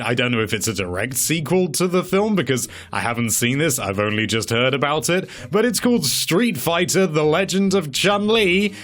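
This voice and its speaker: A man with a very lively, swinging pitch delivers casual commentary as a video presenter. He sounds chatty and wry, with a tongue-in-cheek edge to his remarks.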